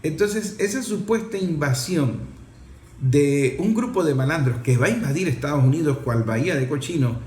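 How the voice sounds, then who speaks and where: A man's voice: fairly high pitch, animated, normal speed, darkish tone. Man giving political commentary in echoey room. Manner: emphatic, opinionated, explanatory. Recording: echoey room, faint background noise.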